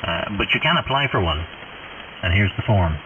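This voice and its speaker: A man's voice: lowish voice, expressive, moderate pace, far from the mic. A middle-aged man chatting casually and hesitantly as an amateur operator over shortwave radio. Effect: shortwave radio transmission. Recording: muffled, narrow-band radio with clear static hiss.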